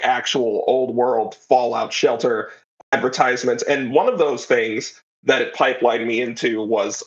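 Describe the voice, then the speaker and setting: A man speaks in a medium-pitched voice with a casual, conversational delivery. He explains at length, wandering enthusiastically from point to point as an interview guest. The recording is a little dull and lo-fi. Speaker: interview guest, writer of an audio drama.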